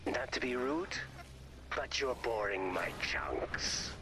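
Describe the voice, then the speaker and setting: A man's voice: mid-range voice, expressive, slow pace, background noise. A man voicing an eccentric, pompous creature made of briny chunks, in a theatrical game-character style. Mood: eager, bored. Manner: theatrical, dramatic delivery. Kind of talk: video game character voice acting. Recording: music behind the voice.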